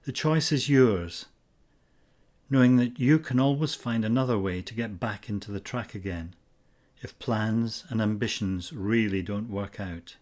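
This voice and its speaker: A man narrating a scripted guided meditation in a measured, instructional way with pauses between phrases. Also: lowish voice, a little mellow.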